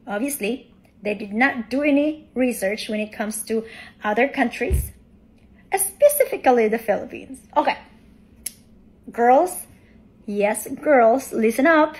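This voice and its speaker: A young woman addresses her phone camera indoors in a calm, condescending tone. Her voice sits high. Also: unhurried, pauses often, poor audio. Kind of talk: selfie video monologue. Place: indoor room.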